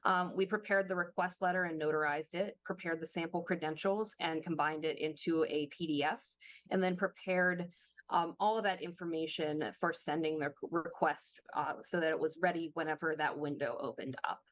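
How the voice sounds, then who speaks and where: Slightly hoarse woman presenting slides. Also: low-pitched, steady tone, normal speed, dry recording, poor audio. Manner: explanatory, with frequent fillers and restarts. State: slightly hoarse, losing her voice. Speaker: presenter at a workshop. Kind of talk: webinar presentation walking through slides.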